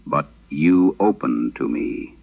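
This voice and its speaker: A man playing a weary, haunted soldier husband back from the dead, speaking in a halting, sorrowful way in a horror audio drama. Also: a bass voice, animated, gravelly, husky, no room sound, heavily acted. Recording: dull vintage-radio band-limited sound.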